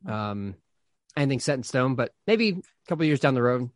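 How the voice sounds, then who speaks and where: A man's voice: medium pitch, very animated, fairly quick, no echo, clean studio sound. A pastor and author in his thirties talking casually in an interview, rambling with lots of fillers and restarts.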